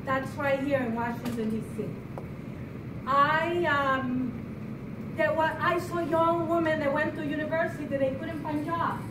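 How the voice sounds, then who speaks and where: A high-pitched, mature woman from El Salvador delivers an emphatic campaign speech to a party meeting. She is picked up from a distance in a roomy space, with clear noise behind her. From El Salvador, grew up in New York. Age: middle-aged or older. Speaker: candidate for re-election in a local party. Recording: roomy, far-off microphone, background noise.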